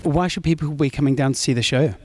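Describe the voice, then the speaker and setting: Guy interviewing, upbeat and fast, bit of a higher voice. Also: a little mellow, no room sound. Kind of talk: casual promotional interview.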